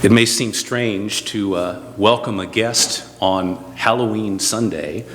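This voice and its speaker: A man speaking as a pastor, giving an informal, halting introduction from the pulpit. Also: fairly low pitch, background noise. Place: church sanctuary. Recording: long church-hall reverb.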